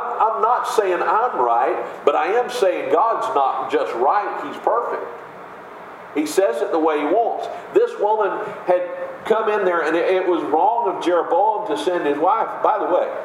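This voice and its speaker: A man with a big booming voice preaching a sermon in an emphatic, conversational way, with rhetorical questions. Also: expressive, measured pace, slight background noise. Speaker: pastor. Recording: echoey room with faint ambience.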